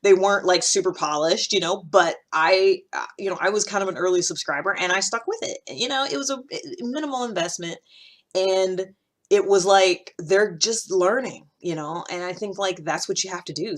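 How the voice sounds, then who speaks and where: A woman's voice: fairly low pitch, normal speed. An American woman in her thirties giving informal, unscripted advice to camera, emphatically repeating key points for stress. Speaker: podcast host giving self-improvement advice. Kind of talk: casual, unscripted talk to camera.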